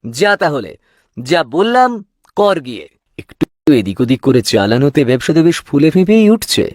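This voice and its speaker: A man's voice: a little high, very animated, normal speed, dry recording, clean studio sound. A man voicing a young, sly and cocky sweet-shop owner in a cartoon. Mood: sly, smug. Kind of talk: cartoon voice acting.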